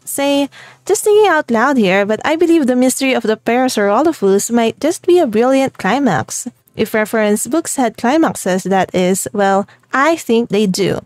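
A woman's voice: on the high side, animated, normal speed, no room sound, natural voice. A woman reading aloud the lines of an eager young male researcher in a game, in her own voice. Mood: musing.

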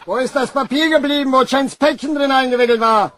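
A man's voice: extremely high pitch, fairly quick, a crowd in the background. A man playing a plain-spoken, obliging villager in a German crime radio play, with a folksy, blunt way of talking. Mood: chatty, obliging.

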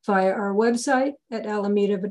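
An older woman delivers a prepared welcome as the head of a library group. Her pitch is mid-range for a woman. She speaks over a video call, introducing an online event. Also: moderate pace, darkish tone. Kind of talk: scripted welcome announcement.

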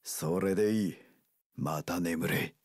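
An actor voicing a brash, rough-talking young pirate warlord in a video game, gravelly, with delinquent gang-boss speech and drawn-out battle cries. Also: male voice, low-pitched, darkish tone, dry recording, clean studio sound, full character voice. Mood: low, brooding. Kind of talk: video game character voice lines.

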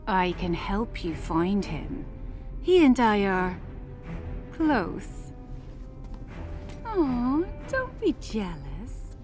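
An actress voicing a sultry, teasing succubus temptress in a game cutscene. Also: female voice, medium pitch, sing-song, drawn-out words, long pauses, over music. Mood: sly. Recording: game cutscene with loud score.

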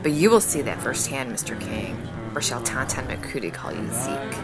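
A woman's voice: very deep voice, normal speed, loud music behind the voice. A woman playing a haughty, menacing, devout cultist with formal, declamatory speech in a radio horror drama. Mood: stern, threatening.

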